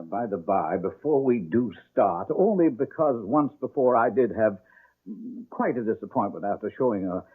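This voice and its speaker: An actor playing a genteel, eccentric English landlord in a radio mystery, courteous, fussy and faintly sinister, with a British accent. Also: male voice, lowish voice, breathy edge. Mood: insinuating. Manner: fussy, rambling, self-correcting, chuckling politeness. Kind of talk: radio mystery drama acting. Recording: narrow-band old radio broadcast.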